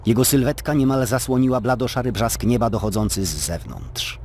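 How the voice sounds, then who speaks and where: A man narrating a scripted story as a dramatic, expressive storyteller in an audio drama. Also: mid-range voice, a little fast, slight background noise.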